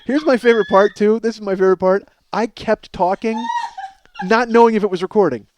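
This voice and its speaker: A man hosting a comedy podcast in a casual, rambling, profane and excitable ranting monologue. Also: high voice, brisk, noisy background. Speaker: podcast host.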